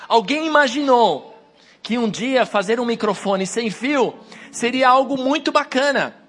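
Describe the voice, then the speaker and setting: Hoarse guy preaching into a handheld mic, kinda high voice, soft music underneath. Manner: exhorting the congregation, rising to emphatic peaks. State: hoarse with a cold. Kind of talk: sermon. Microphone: wireless handheld mic.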